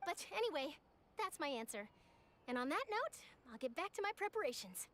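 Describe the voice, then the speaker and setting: Young female reporter, very high animated voice, eager, articulate, passionate anime game delivery with music behind. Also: brisk, a little hiss or hum. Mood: reflective, sincere. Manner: enthusiastic, articulate, theatrical. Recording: background music under the voice.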